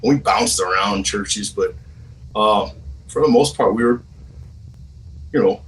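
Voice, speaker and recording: A man in his forties from Hawaii, telling his own story as a guest in a casual, halting way with many restarts and fillers. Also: on the low side, distant mic, rough recording. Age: 40s.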